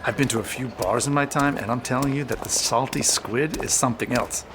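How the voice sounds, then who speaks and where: A man's voice: medium pitch, fairly quick, noisy background, natural voice. An actor playing a pragmatic, casual young male captain with a down-to-earth manner in an audio drama. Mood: friendly, casual. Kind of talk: full-cast audio drama acting. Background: music.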